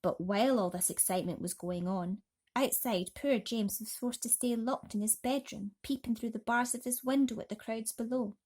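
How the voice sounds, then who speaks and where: A woman narrating a children's bedtime story expressively, dramatically dropping into breathy, hushed tones. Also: on the high side, normal speed. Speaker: storybook reader.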